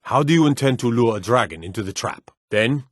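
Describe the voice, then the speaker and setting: A man voicing a stern, loyal guard commander in a fantasy video game, with a gruff, weighty, formal delivery. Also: medium pitch, lively intonation, brisk, no room sound, studio quality, natural voice. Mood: measured, resolute. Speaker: voice actor for game guard lines.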